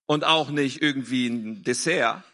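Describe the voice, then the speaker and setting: A man's voice: medium pitch, sharp tone. A man reading scripture and interpreting a sermon phrase by phrase in an earnest manner. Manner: quick, earnest, restating phrases.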